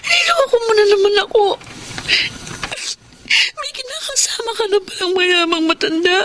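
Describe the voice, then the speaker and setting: A wounded, betrayed girlfriend in a Filipino radio drama. Her voice is high, tearful and melodramatic, swinging up and down, with light noise behind it. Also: sing-song, normal speed, bright, forceful tone, full character voice.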